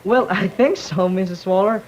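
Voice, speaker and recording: A man's voice: high-pitched, normal speed, dull audio, a little hiss or hum. A young man from the Philippines, a student debater speaking hesitantly with many restarts on a 1950s panel. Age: young adult.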